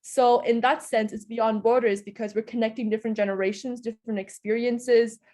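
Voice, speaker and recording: A woman's voice: fairly high pitch, fairly even intonation, a little fast, warm tone. A young woman from Hungary answering interview questions in an earnest, explanatory way, often hedging with small qualifiers. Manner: earnest, explanatory, frequent "in a sense" hedges. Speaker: interview guest.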